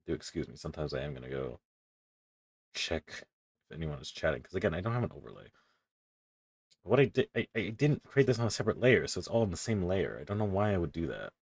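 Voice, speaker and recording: A young man with a very deep, low voice talks through his drawing in loose, rambling chatter, cursing offhandedly and poking fun at himself. The sound is dry and close, with no room around it. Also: sing-song, fast talker, slightly rough, no echo. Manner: rambling, self-deprecating, swears casually. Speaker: streamer. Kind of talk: casual commentary while drawing.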